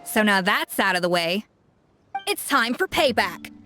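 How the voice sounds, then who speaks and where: An actress playing a proud, brilliant chef who mentors bluntly and scolds with exasperation in a game cutscene. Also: female voice, medium pitch, very animated, measured pace, bright, forceful tone. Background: faint game music.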